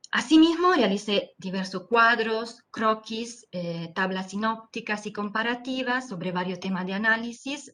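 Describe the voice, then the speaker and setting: A woman with an Italian accent, Italian her first language, giving an academic lecture over a video call in a careful, measured way with frequent hesitant fillers. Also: lowish voice, fairly bright tone. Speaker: anthropology lecturer.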